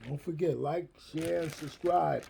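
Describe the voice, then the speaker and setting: A man's voice: fairly high pitch, a little slow, gravelly, background noise. A man co-hosting a food vlog, laid-back and giving short casual replies. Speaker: vlog co-host. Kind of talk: casual mukbang chat.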